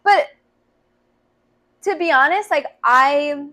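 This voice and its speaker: A young woman who works as a youth minister, talking earnestly on a podcast in a high voice. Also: takes it slowly, pushed and bright. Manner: earnest, frequent "to be honest" and "like". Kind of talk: casual chat.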